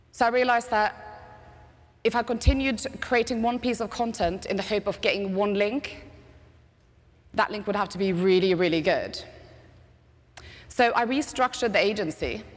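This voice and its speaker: A woman with a Norwegian accent softened by years in Britain delivers a keynote in a chatty, self-deprecating way. Her headset voice carries a long reverb from the big conference hall. Her pitch sits mid-range for a woman. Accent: Norwegian with British English mix. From Norway. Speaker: conference speaker, agency CEO. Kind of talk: keynote presentation. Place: large conference stage.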